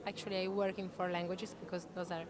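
Actress guest, woman in her thirties. Animated, self-correcting anecdotes into a handheld mic, with people chattering behind. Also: on the low side, quick pace. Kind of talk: chatty anecdotal interview answers. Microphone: handheld interview microphone shared with interviewer. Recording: busy chatter in the background.

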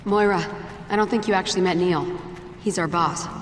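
An actress playing a brave, determined young American survivor in a video game drama, earnest and resolute. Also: female voice, fairly low pitch, brisk, a little hiss or hum, natural voice. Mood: resolute, tense. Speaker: voice actor.

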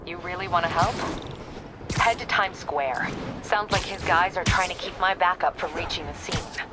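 An actress with an American accent playing a businesslike, by-the-book police captain in a video game, terse and cautious. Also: female voice, fairly low pitch, moderate pace, over loud music, close to an everyday voice. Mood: firm, wry.

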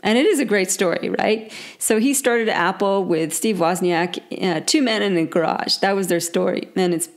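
A woman in her forties with a croaky voice from a cold, hosting her own leadership podcast in a bubbly, upbeat way. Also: medium pitch, normal speed, few pauses. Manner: bubbly, upbeat, laughs often. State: hoarse from a cold. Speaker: podcast host, leadership coach. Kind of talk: casual solo podcast talk.

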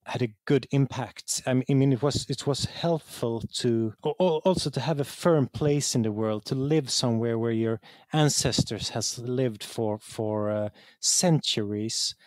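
A man's voice: mid-range voice, a little slow, few pauses, a little mellow, dry recording. A man from Sweden with a Swedish accent and Swedish as his first language, reflecting in an interview as a game designer, often repeating words as he searches for the right one.

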